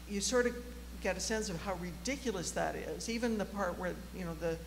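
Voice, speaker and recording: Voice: mid-range voice, brisk, vocal fry. Audience commenter, reflective, hesitant delivery, reverberant auditorium with a slight hum. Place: large auditorium, audience microphone. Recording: reverberant hall, faint hum and noise.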